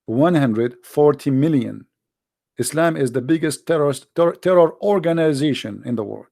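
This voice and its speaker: A man's voice: fairly high pitch, lively intonation, normal speed, creaky, mellow, no room sound, studio quality. A man with an Arabic accent, a native Arabic speaker, hosting an informal, impassioned monologue in a blunt, emphatic manner with repeated phrases and rhetorical questions. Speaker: host, religious polemicist.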